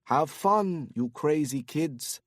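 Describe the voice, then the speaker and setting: A man's voice: on the high side, expressive, slow pace, dry recording, clean studio sound. A man voicing a polite, courteous male bartender with near-perfect English. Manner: polished, polite.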